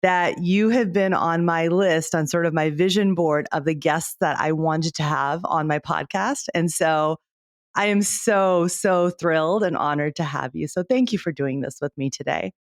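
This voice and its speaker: A woman's voice: sits low, normal speed, dry recording. Effusive woman podcast host, dry sound. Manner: warm, effusive and enthusiastic toward the guest. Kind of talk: podcast interview.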